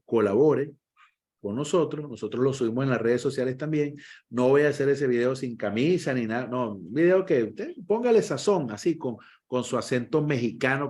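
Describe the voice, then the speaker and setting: Man rounding off an online class. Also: medium pitch. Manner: lively, encouraging, repeats himself. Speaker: first aid instructor.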